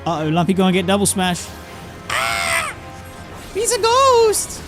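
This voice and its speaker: A man doing excited live game commentary as a streamer, exclamatory, repeating himself and swearing casually. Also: high-pitched, unhurried, music in the background. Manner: exclamatory, repeats words and phrases.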